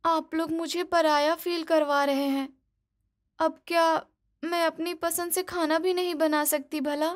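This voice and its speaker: A woman acts out a young bride in a cartoon family story. Her voice is high, eager and expressive, made for coaxing her in-laws and sulking a little when hurt. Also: unhurried. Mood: pleading, hurt. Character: eager young daughter-in-law, cheerful, pleading, easily hurt. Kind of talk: animated moral story dialogue.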